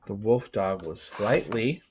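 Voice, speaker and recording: Slow, theatrical man reading a story. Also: fairly low pitch, expressive, lo-fi sound, heavy background noise. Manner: theatrical, with dramatic pauses and big swings from hushed to loud. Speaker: read-aloud narrator. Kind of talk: dramatic storybook narration.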